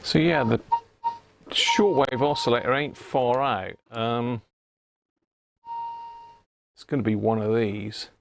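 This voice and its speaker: A man's voice: mid-range voice, fairly even intonation, slow pace, creaky, long pauses, noisy background. A British man muttering and thinking aloud in casual live commentary while working at a bench, as a stream host.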